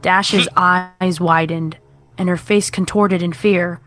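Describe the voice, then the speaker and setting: A woman's voice: lowish voice, fairly even intonation, slow, music in the background. A woman reading scripted horror fiction as the narrator.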